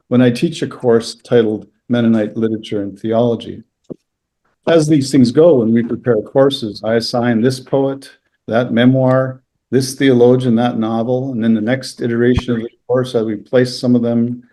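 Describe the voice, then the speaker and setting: Man, native Low German speaker, dark soft tone, middle pitch, lecturer, prepared speech, measured formal delivery. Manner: measured, formal, scholarly phrasing. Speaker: university lecturer, panel speaker. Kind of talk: prepared reflection read aloud at a book launch.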